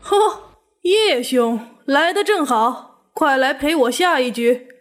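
Period drama: female actor as languid playboy gentleman, high voice, playful and teasing. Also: animated, takes it slowly. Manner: playful, suggestive. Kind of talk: Chinese period audio drama acting.

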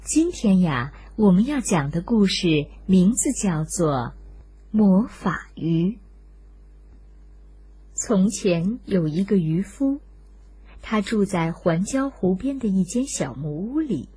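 A woman narrating a scripted children's story in an expressive, deliberate storyteller's manner, addressed to young listeners. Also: medium pitch, breathy edge, no room sound.

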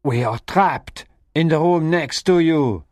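A man's voice: mid-range voice, animated, a little slow, dry recording, clean studio sound. A man voicing an earnest, anxious young rescuer in audiobook dialogue. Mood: urgent.

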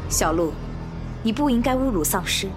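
A woman's voice: medium pitch, lively intonation, moderate pace, over music, close to an everyday voice. A woman playing a cool-headed, commanding survivor leader in a zombie audio drama, stern and decisive. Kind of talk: Chinese fan audio drama acting.

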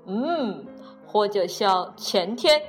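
A woman's voice: mid-range voice, animated, very slow, soft background music. A woman voicing a small, cheerful and curious male frog in a children's storybook reading. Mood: dreamy, wondering.

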